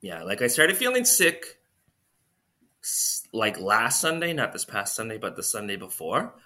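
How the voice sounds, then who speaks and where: Young male co-host, stuffy-nosed, bright and animated, rambling casual chat. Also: lowish voice, a little slow. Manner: rambling, filler-heavy storytelling. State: congested. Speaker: podcast co-host.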